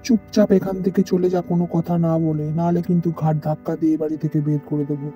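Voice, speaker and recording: An actor plays a scheming elder brother in an animated folk tale. The voice is dark in tone, with a curt, commanding manner. Music sits faintly behind it. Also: medium pitch, quick pace, lightly acted. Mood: harsh, dismissive. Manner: bossy, commanding. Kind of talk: animated moral story voice acting.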